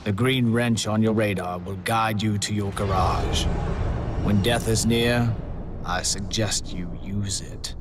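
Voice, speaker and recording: An actor playing a suave, gleefully morbid contest master, briefing players in a video game with deliberate, silky menace. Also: male voice, fairly low pitch, fairly even intonation. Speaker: contest host. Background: music.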